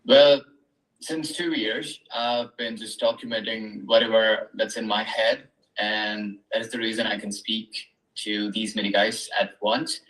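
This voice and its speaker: A man's voice: fairly low pitch, flat delivery, a little slow, fairly bright tone, echoey room, distant mic, low-quality recording. A man in an online workshop casually explaining what he hopes to learn. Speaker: workshop participant.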